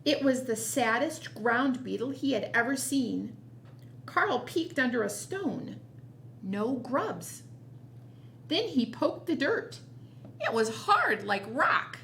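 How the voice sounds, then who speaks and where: A woman reads a children's picture book as a storytime host. Her voice is fairly high and very animated, moving at an unhurried pace with quiet asides. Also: vocal fry, slight background noise.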